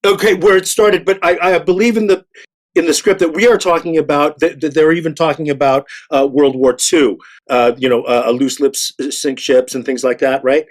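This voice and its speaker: A man on a discussion panel, making his argument haltingly, repeating words and stressing the key ones. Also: on the high side, fairly even intonation. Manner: halting and repetitive, yet emphatic on key words.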